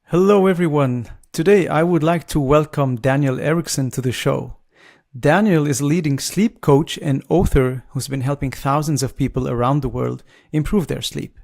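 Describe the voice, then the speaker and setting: A man's voice: on the high side, moderate pace, vocal fry, darkish tone. A man hosting a podcast, giving prepared introductions and asking interview questions in a formal, courteous manner. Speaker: podcast host.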